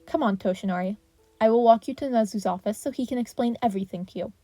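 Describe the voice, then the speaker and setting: Stern, indignant male teacher, played by a woman narrator; commanding scolding, high-pitched, close dry sound. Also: fast, no echo, soft background music, close to an everyday voice. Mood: dismissive. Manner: sharp, commanding, lecturing.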